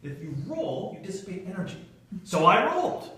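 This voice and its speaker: A man giving a humorous storytelling speech to an audience in a theatrical manner, with dramatic pauses and stretched emphasis. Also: high-pitched, very animated, pushed and bright. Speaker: Toastmasters club speaker telling a humorous tale. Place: indoor meeting room with an audience. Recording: echoey room, recorded from far off.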